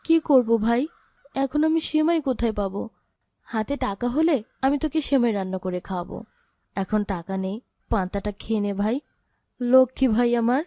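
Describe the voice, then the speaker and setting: A woman plays an orphaned elder sister in a moral cartoon. Her voice is high, soft-hearted and sorrowful, with a pleading way of talking. The sound is very dry. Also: normal speed, pauses often, no echo, close to an everyday voice. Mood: helpless, coaxing. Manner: plaintive, pleading. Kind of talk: dramatic cartoon voice acting.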